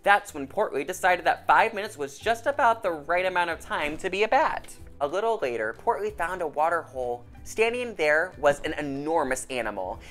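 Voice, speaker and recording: A woman storyteller narrates for children in a voice a little on the low side. Her delivery is animated and moves widely in pitch, with music behind her. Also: normal speed, hardly any pauses.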